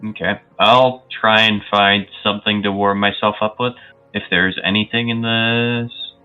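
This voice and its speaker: A man's voice: on the low side, fairly even intonation, normal speed, clean tone. A man playing a tabletop roleplaying game, casually and tentatively describing what his character does. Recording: dull lo-fi audio, music underneath. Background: music.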